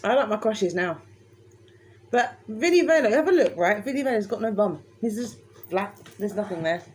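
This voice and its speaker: A woman's voice: mid-range voice, expressive, normal speed, darkish tone. A woman co-hosting a casual chat, giggling and defending herself. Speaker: co-host.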